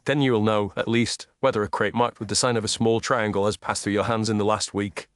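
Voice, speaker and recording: A man voicing a coolly commanding gentleman detective in an audio story, formal, clipped and incisive. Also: lowish voice, little pitch movement, brisk, dry recording, clean studio sound, lightly acted. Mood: cold, pressing.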